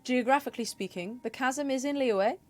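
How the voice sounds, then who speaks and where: A woman's voice: sits high, fairly quick, no echo. Chatty giggly woman streaming a game. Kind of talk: casual gameplay commentary.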